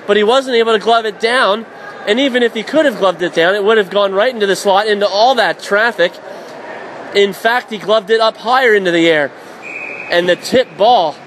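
Male hockey play-by-play announcer, high voice, faint noise behind, rising to shouts on big plays. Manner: rapid, rising to shouts on shots and saves.

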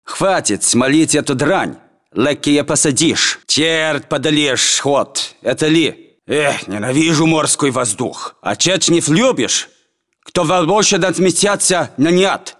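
Grumbling Russian soldier, lightish voice. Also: male voice, fairly high pitch, expressive, slow pace, a bit bright. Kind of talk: video game military voice lines.

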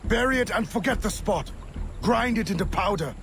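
A man's voice: sits high, animated, normal speed, creaky, noisy background. A man playing a stern, bitter older assassin mentor in a video game, scornful and formal in his commands. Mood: stern anger. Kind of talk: video game cutscene acting.

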